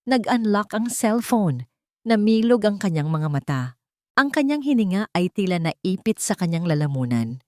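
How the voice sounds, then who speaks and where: A woman with a Filipino accent reading a scripted love story as a narrator, in a dramatic, deliberate way. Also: mid-range voice, very animated, no room sound, studio quality.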